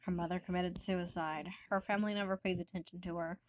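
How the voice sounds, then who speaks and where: A woman's voice: on the low side, steady tone, fast, a little hiss or hum. A woman casually reading out an amateur comic dub in a joking, sweary, informal way. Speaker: comic dub narrator and voice actor.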